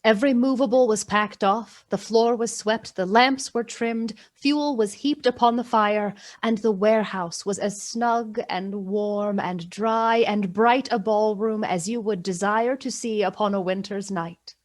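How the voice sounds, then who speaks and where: A woman's voice: medium pitch, measured pace, hardly any pauses, lightly acted. A woman narrating a Victorian Christmas tale in a scripted storybook style for a radio play. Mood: descriptive.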